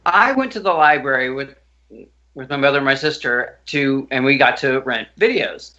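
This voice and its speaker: A man co-hosting a casual online pop culture chat show, telling a childhood story in an animated way. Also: normal speed, highs cut off. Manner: animated storyteller, jumps into squeaky voices for himself. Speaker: podcast co-host.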